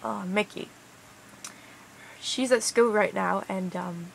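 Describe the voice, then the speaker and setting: Young woman, creaky put-on British accent. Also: medium pitch, fairly even intonation, takes it slowly, a little hiss or hum, poor audio. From Canada. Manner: crude, slangy, hesitant. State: tired. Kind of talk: casual rambling vlog.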